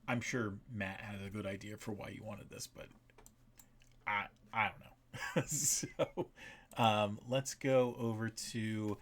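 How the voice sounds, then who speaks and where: Low-voiced man casually hosting a stream. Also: slight background noise. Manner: halting, informal explanations. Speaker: map maker and stream host. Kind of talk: casual livestream commentary.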